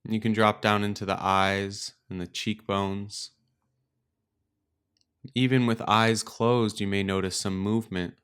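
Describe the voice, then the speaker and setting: Smooth, low-voiced man guiding meditation. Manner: calm, gentle, unhurried phrasing with pauses. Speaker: meditation teacher and podcast host. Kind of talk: guided meditation, slow and instructional.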